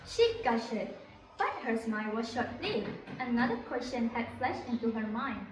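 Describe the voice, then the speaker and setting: Recorded at a distance in an echoey room, a young woman narrates a scripted story. Her voice sits a little high. There is faint noise in the recording. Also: big pitch swings, unhurried.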